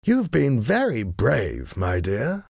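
A man voicing a calm, bored-sounding gentleman hero in an audiobook, with a drawling, courteous, nonchalant manner. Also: mid-range voice, slow, gravelly, breathy edge, no room sound, studio quality, voice pushed up, heavily acted. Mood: languid, unruffled. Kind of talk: acted audiobook dialogue.

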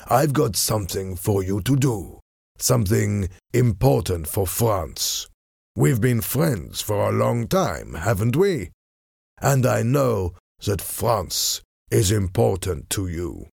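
A man acts out a cunning French ambassador in his forties for a storybook. He is cold and smooth-tongued, drawing his words out slowly in a low, creaky voice with quiet menace. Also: expressive, dry recording, studio quality, exaggerated voice. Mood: persuasive.

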